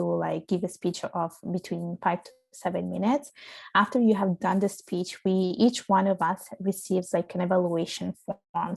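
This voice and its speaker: A woman with a soft, quiet voice, answering interview questions in a thoughtful, explaining way with some fillers. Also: medium pitch, creaky. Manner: soft, not loud voice. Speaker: podcast guest. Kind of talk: casual interview chat.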